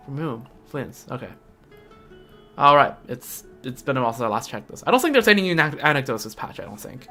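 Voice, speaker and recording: A man's voice: medium pitch, lively intonation, a little fast, vocal fry, sharp tone. A young man giving casual live stream commentary on a game, rambling and thinking aloud. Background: game music.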